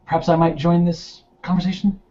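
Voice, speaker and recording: A man narrating his roleplaying character's actions in the third person, in halting phrases. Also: sits high, little pitch movement, warm tone. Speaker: tabletop roleplaying player. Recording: dull lo-fi voice recording.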